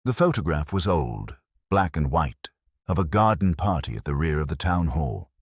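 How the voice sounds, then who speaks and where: A very deep-voiced man narrates a detective story in a measured, literary way. His pitch moves freely as he goes, and the sound is dry and close. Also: very animated, slightly rough, no room sound.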